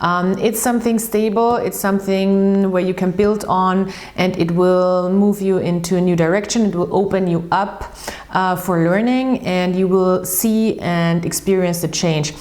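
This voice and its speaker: A woman with a fairly low voice hosts a casual tarot reading in English. She talks in a chatty, earnest way and often restarts sentences. She repeats words for emphasis. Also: steady tone, a little slow, darkish tone. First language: German. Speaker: tarot reader and channel host. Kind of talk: casual tarot reading while shuffling cards.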